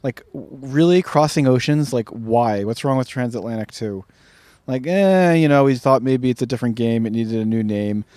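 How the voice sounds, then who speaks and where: Slightly hoarse man, dry teasing wit. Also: medium pitch, lively intonation, moderate pace, dark tone, no echo. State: hoarse, recovering from a cough. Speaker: podcast co-host. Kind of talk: casual chat.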